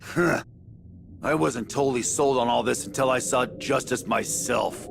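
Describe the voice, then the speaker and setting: An actor with an American accent playing a tough, irritable warrior in an anime game, gravelly, blunt and curt. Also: male voice, medium pitch, measured pace, background noise. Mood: grudging.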